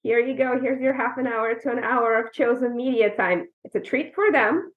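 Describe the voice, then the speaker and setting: A woman from Ukraine speaks as a guest coach, her voice coming through a tinny, phone-like remote line. She talks in a thoughtful, explanatory way and often says "you know". Her pitch sits a little high. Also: normal speed. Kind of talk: casual podcast conversation.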